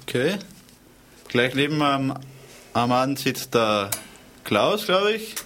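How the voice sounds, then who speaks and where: A man hosting a community radio talk show, relaxed and chatty with light hesitations. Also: mid-range voice, slow pace, slight background noise. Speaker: radio host. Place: radio studio.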